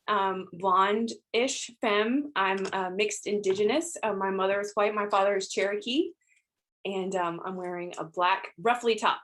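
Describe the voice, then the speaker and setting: A woman chats through her introduction on a video call, filling pauses with ums. Her pitch sits in the middle of the range. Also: a bit bright. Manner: chatty, frequent ums, light laughs. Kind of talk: round table introduction.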